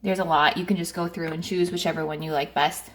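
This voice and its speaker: A woman's voice: on the low side, steady tone, fast talker, soft background music. A woman helping with a product demonstration, talking casually.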